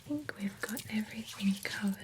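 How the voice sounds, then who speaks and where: A woman whispering as a chatty female vampire who grooms a werewolf at a spa, soft and reassuring. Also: mid-range voice, fairly quick, noisy background, lightly acted. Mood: whispery, reassuring. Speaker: ASMR roleplay performer. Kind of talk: whispered ASMR roleplay.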